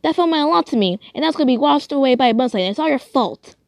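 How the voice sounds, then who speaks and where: A woman's voice: sits high, lively intonation, fast, vocal fry, darkish tone, no echo, studio quality, pitched above the performer's own voice. A woman playing a protective but hot-tempered brother in a fan story, blunt and scolding in manner. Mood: angry.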